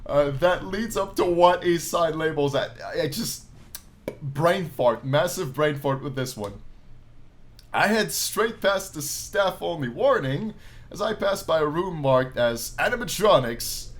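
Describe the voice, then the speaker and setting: Animated man doing let's play narration. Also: fairly high pitch, measured pace. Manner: animated, jokey, casual asides. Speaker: gaming YouTuber reading a visual novel aloud. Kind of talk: let's play commentary mixed with dramatic read-aloud narration.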